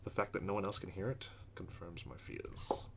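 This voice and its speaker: A man's voice: deep voice, flat delivery, moderate pace, vocal fry, noisy background. A man reading a visual novel aloud as dramatic narration for a let's-play.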